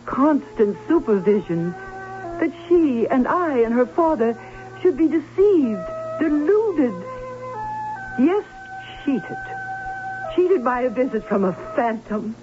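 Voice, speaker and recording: An actress playing a prim, anxious, fussy middle-aged aunt who tells her story in an old radio drama, with dramatic emphasis and deliberate pauses. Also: high voice, big pitch swings, exaggerated voice. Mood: indignant, dramatic. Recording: dull vintage radio recording, faint music.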